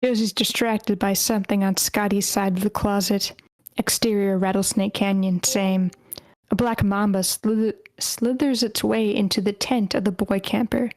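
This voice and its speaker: A woman's voice: mid-range voice, steady tone, normal speed, darkish tone, dry recording. A woman reading stage directions aloud as the narrator at a screenplay table read, in short clipped phrases.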